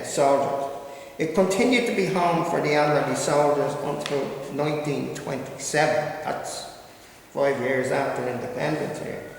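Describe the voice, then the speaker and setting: A man gives a local history talk in a slightly high, gravelly voice. He reads steadily from notes and keeps stopping to wander into unsure asides. He is heard from well back in a big hall with a long ringing echo. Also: a little hiss or hum. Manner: reads steadily, then breaks into hesitant asides. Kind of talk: history lecture read from notes. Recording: echoey hall recorded from far off.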